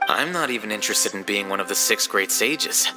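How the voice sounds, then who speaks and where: A man's voice: on the low side, animated, brisk, long reverb tail, close to an everyday voice. A man voicing an aloof, blunt young scholar in a video game, dry and matter-of-fact. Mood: dry, unbothered. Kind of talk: video game character acting. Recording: loud music behind the voice.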